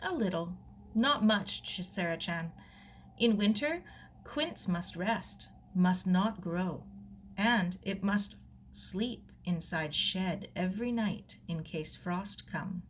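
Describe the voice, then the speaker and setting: Woman voicing a slow, gentle old Japanese man. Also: lowish voice, expressive, slight background noise. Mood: gentle, instructive. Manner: clipped broken English, slow and sure.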